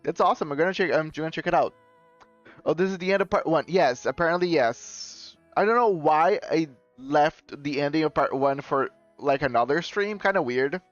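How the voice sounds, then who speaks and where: A man's voice: a little high, moderate pace, dry recording. A man streaming live and chatting casually with his viewers, rambling and often correcting himself with a chuckle. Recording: dull lo-fi stream audio with faint music.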